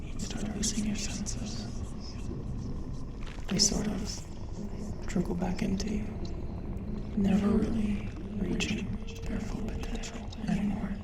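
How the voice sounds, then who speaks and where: A woman playing an eerie, affectionate sleep paralysis demon girlfriend in an intimate ASMR audio roleplay, speaking softly and deliberately with a breathy, whispery voice. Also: mid-range voice, flat delivery. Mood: ominous, hushed. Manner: slow, soft, deliberate delivery. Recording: distant, roomy, heavy ambient noise.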